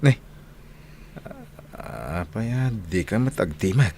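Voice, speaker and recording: Casting: earnest, patient young Ilocano man in radio drama, lowish swinging pitch, slight background noise. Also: sing-song, slow pace, gravelly, darkish tone, heavily acted. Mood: apologetic, patient. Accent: Northern Philippine Ilocano. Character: hardworking, patient young man Saldy, away from his sweetheart.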